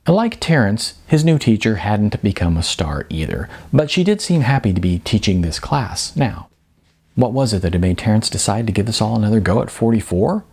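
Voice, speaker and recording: A man with a husky voice, an author reading their own novel aloud in an expressive storytelling manner. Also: medium pitch. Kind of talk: audiobook-style narration of prose.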